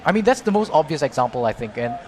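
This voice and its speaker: A man doing color commentary for an esports broadcast, explaining plays in a measured way. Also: medium pitch, sing-song, darkish tone, a little hiss or hum. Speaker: esports co-caster.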